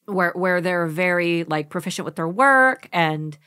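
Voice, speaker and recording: A young woman talks in a casual, opinionated way on a podcast. Her voice is fading and hoarse, and she speaks quickly in easy conversation. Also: lowish voice, expressive, pushed and bright. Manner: chatty, quick to laugh, opinionated. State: losing her voice. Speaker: podcast co-host. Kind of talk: casual chat.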